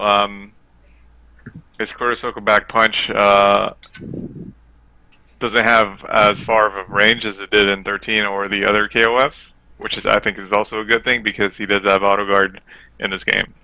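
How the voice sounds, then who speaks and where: Bright, forceful low-voiced man with gravel. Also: fairly even intonation, unhurried, no room sound, rough recording. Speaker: podcast guest, fighting game player. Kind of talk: casual game analysis.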